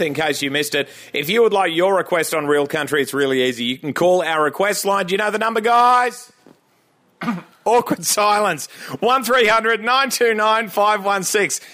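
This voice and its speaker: A man with a croaky, hoarse voice, hosting a radio show in a chatty, upbeat way while out on a busy festival street. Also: sits high, moderate pace, pushed and bright, dry recording, faint music behind. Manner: chatty, upbeat presenter patter. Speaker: radio host. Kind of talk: casual radio presenting on location.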